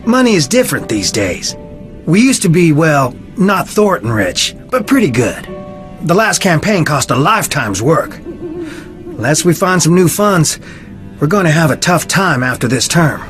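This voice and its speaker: A man's voice: fairly high pitch, sing-song, unhurried, a bit bright, faint music behind. An actor voicing a reserved, earnest young man in a video game, speaking in a guarded, confiding manner.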